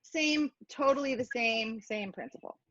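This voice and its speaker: A woman's voice: on the high side, unhurried, bright, forceful tone, no room sound, muffled recording. A woman giving a casual hands-on cooking demonstration, chatty, playful and self-deprecating as she explains each step. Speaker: cooking instructor.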